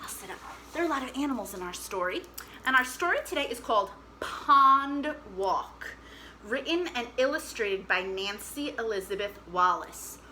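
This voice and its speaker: Bright, high-voiced woman hosting children's storytime. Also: moderate pace, rough recording. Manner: animated, encouraging, playful with questions to children. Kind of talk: interactive children's musical storytime. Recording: clear background noise.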